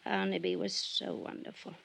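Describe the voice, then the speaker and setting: Husky, effusive older society widow. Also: female voice, fairly low pitch, little pitch movement, moderate pace, a bit bright, exaggerated voice. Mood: wistful nostalgia. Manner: effusive, rambling, nostalgic. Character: wistful, chatty, wealthy older society widow. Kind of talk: old-time radio drama acting.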